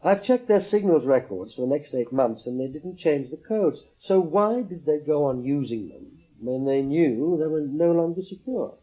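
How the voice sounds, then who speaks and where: An actor playing a guarded, steady British intelligence man in a radio drama, terse and understated with a slightly husky voice. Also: fairly high pitch, normal speed, hardly any pauses, highs cut off. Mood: probing. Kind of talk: naturalistic radio drama acting.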